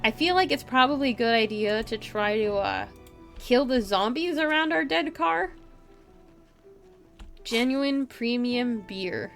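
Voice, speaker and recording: A woman's voice: a little high, lively intonation, a little slow, a bit bright, soft background music. A woman giving casual live gameplay commentary in a playful way, talking to herself and her chat. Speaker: game streamer, host.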